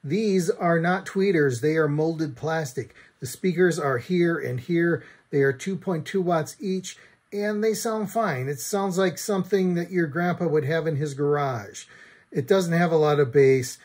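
A middle-aged man with an American accent reviews a product to camera in a dry, wry way. His voice sits a little high for a man, yet it is soft-edged and mellow, with a husky grain. He speaks from a room at home. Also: a little slow. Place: small room at home, indoors.

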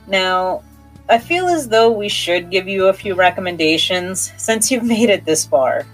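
A woman's voice: medium pitch, lively intonation, a little slow, faint music behind, poor audio. A woman hosting a horror podcast, talking in a conversational, playful and enthusiastic way. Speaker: podcast host.

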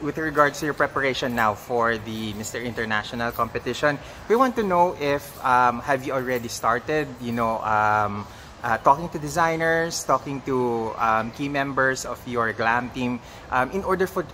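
A man interviewing a guest, asking long questions full of pauses, restarts and bits of Tagalog. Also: mid-range voice, sharp tone, slight background noise. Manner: halting, many "um" and restarts, mixes in Tagalog.

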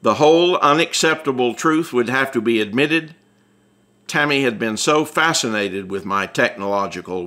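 A man's voice: on the low side, bright, forceful tone. An American man reading his own memoir as an audiobook, in a wry, conversational storytelling manner. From Atlanta, United States. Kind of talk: scripted first-person narration, conversational memoir.

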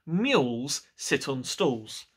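A man's voice: mid-range voice, sing-song, husky, heavily acted. A man voicing a bossy, stubborn cat from a children's picture book, insistent and emphatic. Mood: firm, instructive. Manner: emphatic, drawn-out, insistent delivery. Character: bossy, stubborn male cat laying down rules.

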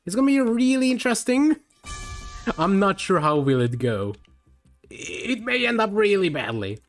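Young hungarian guy streaming, kinda high voice, rambly and tired, faint game music behind. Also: very animated, moderate pace. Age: young adult. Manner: rambling, chatty, many fillers and restarts. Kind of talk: live game stream chat.

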